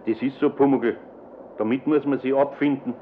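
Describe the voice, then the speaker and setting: A man's voice: medium pitch, steady tone, normal speed, very noisy, lightly acted. A male actor playing an older, fatherly master carpenter with a Bavarian accent in a radio play, plain-spoken and homely. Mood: resigned. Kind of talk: German radio play acting. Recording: narrow, tinny old radio recording.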